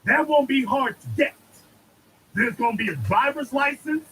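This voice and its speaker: A man's voice: very high-pitched, normal speed, bright, forceful tone, a little hiss or hum, rough recording. A man giving an emphatic political monologue, preaching and repeating lines for effect. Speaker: commentator.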